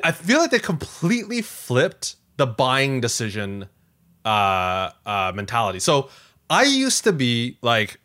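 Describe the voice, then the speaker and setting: Man, podcast co-host, casual chat, bright voice, very lively intonation, emphatic. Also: medium pitch.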